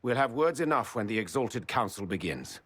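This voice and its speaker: An actor playing a stern, formal nobleman envoy in a fantasy game, measured and official in manner. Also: medium pitch, sing-song, fairly bright tone, husky, no echo. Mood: firm.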